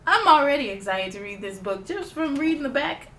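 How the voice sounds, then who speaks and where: High-voiced, very animated woman reading aloud. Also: a little fast, a little hiss or hum. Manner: expressive, excited, giggly. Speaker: storytime host reading aloud. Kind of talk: animated children's picture book read-aloud.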